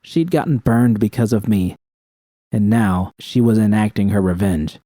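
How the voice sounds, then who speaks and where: A man's voice: lowish voice, normal speed, mellow, dry recording. A man reading a scripted first-person story as an audiobook narrator.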